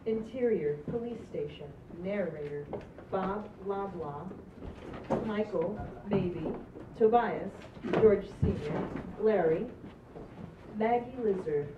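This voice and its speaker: Female stage-directions reader, mid pitch, dull echoey recording from far off. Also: slow. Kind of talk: live script reading. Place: small stage in a room. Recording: distant, echoey, dull recording with clear noise.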